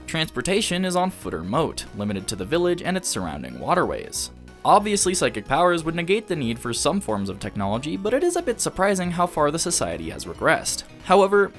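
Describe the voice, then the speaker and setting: Higher-voiced man narrating a scripted video essay. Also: fairly bright tone. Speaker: anime reviewer, video essay host.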